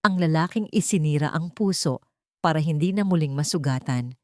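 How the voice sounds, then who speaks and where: A woman's voice: low-pitched, brisk, warm tone, no room sound, studio quality. A woman reading a scripted story as a narrator, in a dramatic storytelling style. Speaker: narrator of audio love stories.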